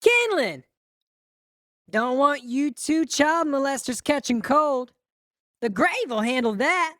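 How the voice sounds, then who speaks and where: Woman as a slow, high-voiced vengeful boy. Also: expressive, fairly bright tone, no echo, clean studio sound, exaggerated voice. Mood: gleeful cruelty. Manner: taunting, drawn-out delivery. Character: vengeful Southern boy, gleefully cruel and mocking. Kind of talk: audiobook character acting.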